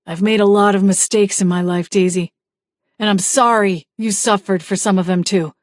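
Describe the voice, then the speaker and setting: A woman's voice: medium pitch, fairly even intonation, moderate pace, no room sound, lightly acted. A woman voicing a frightened, guilty middle-aged husband who pleads in a strained voice, in a dry recording. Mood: pleading.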